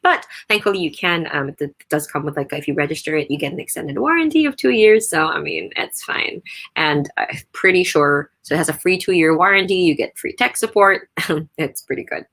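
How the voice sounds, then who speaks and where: Low-voiced woman chatting through a mic review. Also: expressive, brisk, a bit bright. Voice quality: low mezzo voice, crisp consonants. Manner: chatty, rambling, lots of fillers and restarts. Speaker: vlogger reviewing a microphone. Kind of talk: casual spoken review. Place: untreated home room, not soundproof. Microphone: studio USB condenser microphone close up.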